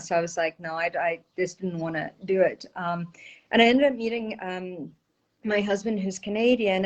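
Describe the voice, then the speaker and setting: A woman's voice: lowish voice, poor audio. A woman in her forties from South Africa, with a South African accent and Portuguese as her first language, chatting as an interview guest over a video call, with frequent ums. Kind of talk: casual interview chat.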